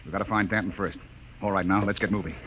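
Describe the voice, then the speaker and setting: Man acting a gruff, low-voiced spaceship captain, noisy recording. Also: steady tone, fast talker, natural voice. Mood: brisk, commanding. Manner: clipped, commanding orders. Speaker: actor. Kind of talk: old-time science fiction radio acting. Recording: dull, noisy old radio recording.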